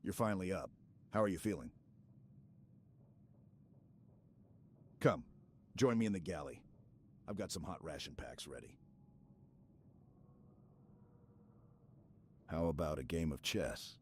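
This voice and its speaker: Casting: male actor for protective super-soldier commander in ASMR roleplay, rock-bottom pitch, stoic, steady, reassuring military clip, faint ambience behind. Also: fairly quick. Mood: gentle, friendly. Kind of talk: ASMR roleplay, scripted dramatic monologue. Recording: clear background ambience noise.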